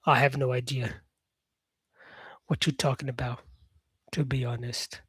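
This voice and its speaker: A man's voice: mid-range voice, level tone, measured pace, fairly bright tone, husky, no echo. Upbeat rambling male English teacher, dry sound. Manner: rambling, restarts himself, many fillers; upbeat, encouraging. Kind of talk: casual talk to viewers, answering comments.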